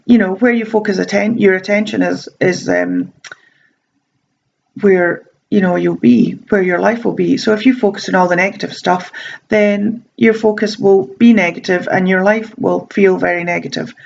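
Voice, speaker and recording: Woman, slight sore throat, gravelly, mid-pitched, rambling casual advice, muffled lo-fi sound. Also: moderate pace, a little mellow. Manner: chatty, meandering, frequent ums and restarts. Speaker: self-help vlogger. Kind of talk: casual talking-to-camera advice.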